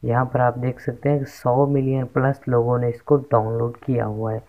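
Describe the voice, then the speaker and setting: Flat-toned man presenting a tech tutorial. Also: medium pitch, a little fast. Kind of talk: casual how-to tutorial narration. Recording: dull lo-fi microphone sound.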